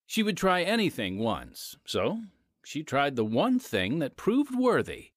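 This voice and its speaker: A man narrating a scripted radio program with solemn, measured emphasis. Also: fairly high pitch, wildly swooping pitch, no echo, clean studio sound.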